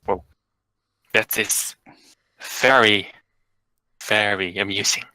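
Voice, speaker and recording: A man's voice: on the low side, steady tone, takes it slowly, sharp tone, no echo, poor audio. A man playing a tabletop game, curious and polite, talking in and out of character. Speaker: player. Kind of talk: casual tabletop roleplay.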